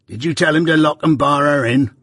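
A man's voice: on the high side, a little fast, fairly bright tone, dry recording, studio quality, voice pushed up. A man voicing a dying, ghost-haunted male convict who raves in frightened rustic dialect. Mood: weak, pleading. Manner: terrified, raving pleas in rustic dialect.

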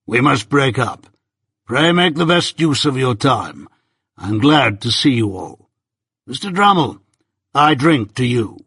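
Domineering older gentleman voiced by a male narrator, slow, emphatic, commanding, slightly husky, for a classic storybook. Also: mid-range voice, expressive, dry recording, studio quality, full character voice. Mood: curt, formal. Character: domineering, deliberate older male lawyer and guardian. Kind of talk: audiobook character voice.

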